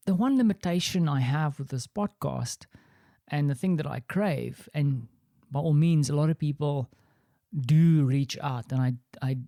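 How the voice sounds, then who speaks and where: A man's voice: a little high, vocal fry, dark tone, dry recording, studio quality. A man with an Afrikaans accent hosting a solo podcast, talking in a rambling, reflective, self-deprecating way from his small office. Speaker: podcast host.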